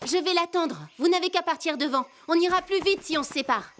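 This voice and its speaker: A woman's voice: extremely high pitch, fairly quick, a bit bright, slight background noise. A woman voicing a spirited, sensible young forest girl in a cartoon, forthright and skeptical. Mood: confident. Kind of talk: French cartoon voice acting.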